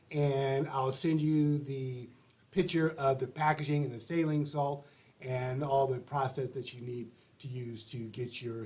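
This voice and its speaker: A man hosting an advice show, sounding congested and stuffy-nosed, talking in a rambling, chatty way with restarts. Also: medium pitch. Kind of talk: casual livestream monologue.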